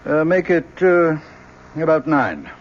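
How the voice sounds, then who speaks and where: A man's voice: a little high, very animated, slow, exaggerated voice. An actor playing a weary, genteel older professor and father in an old-time radio drama, hesitant and donnish in his phrasing. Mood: uneasy. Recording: muffled, noisy old radio recording.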